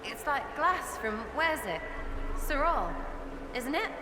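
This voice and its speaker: An actress playing a quirky, blunt young elf woman in a video game, grumbling in a halting way. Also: sits high, very animated, full character voice. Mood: puzzled, irritated. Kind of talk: video game party banter. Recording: noisy, echoing cavernous game ambience.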